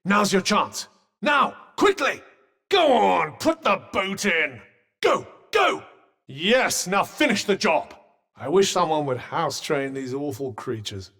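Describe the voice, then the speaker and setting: Droll posh British magical companion in long reverb. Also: male voice, fairly high pitch, lively intonation, measured pace, a bit bright. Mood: urgent, then sardonic. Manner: posh, droll, understated, faintly condescending. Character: dry, haughty, sardonic talking magical cuff companion. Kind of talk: video game companion voice lines.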